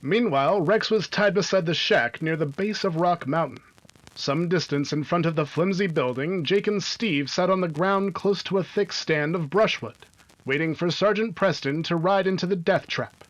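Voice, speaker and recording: A man's voice: on the high side, normal speed, muffled recording. A man narrating and announcing in the scripted style of an old-time radio adventure serial.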